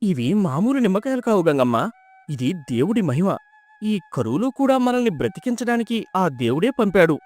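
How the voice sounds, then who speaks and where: Man acting an earnest, humble village husband, animated. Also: high voice, moderate pace. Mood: amazed, reverent. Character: poor, pious, honest village husband, humble and wonder-struck. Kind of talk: dramatised folk tale storytelling.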